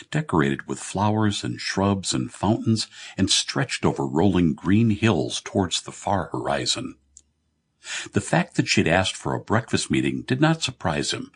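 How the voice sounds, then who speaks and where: A man narrating comic fantasy fiction as an audiobook, telling the story in a measured way. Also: low-pitched, slightly rough.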